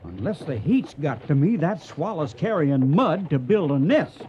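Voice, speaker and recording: An actor playing a determined, caring trail boss in a Western radio drama, with commanding folksy cowboy speech. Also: male voice, a little high, big pitch swings, a little slow, muffled recording, slight background noise. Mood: excited. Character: determined, gruff but caring male cattle trail boss.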